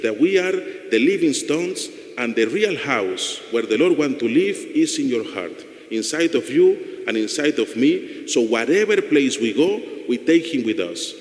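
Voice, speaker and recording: Spanish-accented priest in an echoing church. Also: male voice, medium pitch. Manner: halting non-native English with "no?" tags. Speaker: parish priest. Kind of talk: homily and informal remarks to a congregation. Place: inside a church. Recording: echoey church with long reverb.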